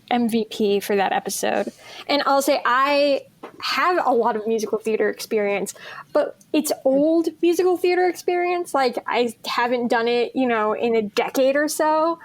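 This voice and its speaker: A woman's voice: on the high side, moderate pace. A woman speaking informally as a cast member in a group podcast interview. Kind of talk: casual panel chat.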